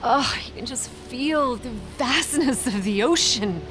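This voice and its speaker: An actress playing a wry, skeptical visitor in an audio drama, with a casual, reactive delivery. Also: female voice, sits high, expressive, unhurried, fairly bright tone, soft background music.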